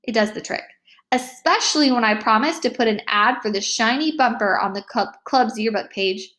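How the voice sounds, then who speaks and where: A woman reading a children's novel aloud as an expressive first-person storyteller. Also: fairly high pitch, normal speed. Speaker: read-aloud narrator.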